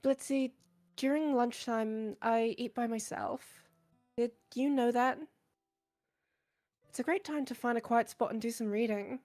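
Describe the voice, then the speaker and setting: A woman voicing a shy, higher-pitched bookish girl. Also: steady tone, unhurried, pauses often, soft background music, close to an everyday voice. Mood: wistful, sad. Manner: hesitant, soft-spoken, earnest. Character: shy, bookish, insecure teenage girl in a visual novel. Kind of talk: visual novel character voice acting.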